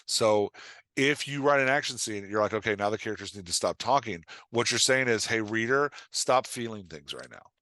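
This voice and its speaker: A man's voice: on the low side, expressive, moderate pace, bright, forceful tone, dry recording, clean studio sound. A man talking casually as a podcast guest and writing coach, making points emphatically and acting out imagined lines. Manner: emphatic, acts out imagined lines, checks with "right?". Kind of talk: casual podcast advice talk.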